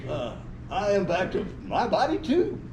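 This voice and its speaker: A man reading the part of a visually impaired sixteen-year-old boy in an amateur comedy play, with halting, deliberate delivery. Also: high voice, huge pitch swings, exaggerated voice. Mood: puzzled. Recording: noisy, echoey room recording.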